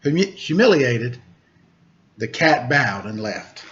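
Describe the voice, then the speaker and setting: A man hosting a storytime, reading a children's tale aloud with measured, pausing phrasing. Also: medium pitch, sing-song, fairly bright tone. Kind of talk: read-aloud storytelling with casual introduction. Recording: dull lo-fi recording.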